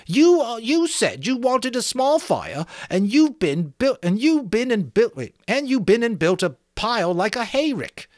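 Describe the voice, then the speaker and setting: A man acting out a high-pitched, whining schoolboy from an audiobook novel. He sounds wronged and anxious, nagging about rules in rough grammar, his voice swinging up and pressing loud with indignation. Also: big pitch swings, measured pace, hardly any pauses, no room sound, studio quality, heavily acted. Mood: indignant whining. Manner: indignant, hurt, reproachful, ungrammatical. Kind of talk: dramatic audiobook reading.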